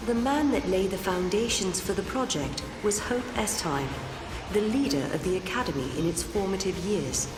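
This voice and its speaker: An actress playing a composed chronicler, giving formal, measured video game narration. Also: female voice, mid-range voice, expressive, close to an everyday voice. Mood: stately. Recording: echoey hall reverb under music.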